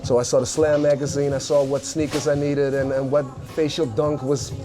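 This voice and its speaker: A middle-aged man from the Netherlands with a Dutch accent, telling stories in a casual interview, relaxed with many fillers. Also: on the high side, normal speed. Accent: Dutch, Amsterdam. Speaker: guest rapper. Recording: music playing behind.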